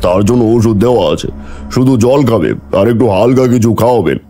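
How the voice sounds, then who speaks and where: Man playing a calm village doctor explaining things. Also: medium pitch, quick pace, soft background music, lightly acted. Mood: matter-of-fact. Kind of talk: cartoon voice acting.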